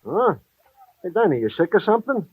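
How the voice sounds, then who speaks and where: An actor playing a jaunty, florid police sergeant with mock-formal flourishes, in 1950s radio drama style. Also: male voice, high voice, big pitch swings, a little fast, breathy edge, exaggerated voice. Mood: puzzled. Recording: tinny old radio broadcast.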